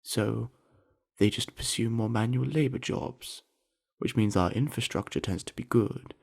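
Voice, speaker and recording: Man voicing a gravelly, friendly young orc jock. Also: mid-range voice, darkish tone. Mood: earnest. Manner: easygoing, chatty, earnest, with musing pauses. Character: friendly, earnest, sweaty young male orc university jock. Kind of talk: scripted ASMR roleplay addressed to the listener.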